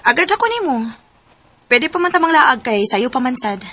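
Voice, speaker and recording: A woman's voice: high voice, sing-song, normal speed, pushed and bright, heavily acted. An actress playing a sincere, tender young woman in love in a radio drama, with a Cebuano accent. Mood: cheerful, willing. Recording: music bed under radio drama voices. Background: music.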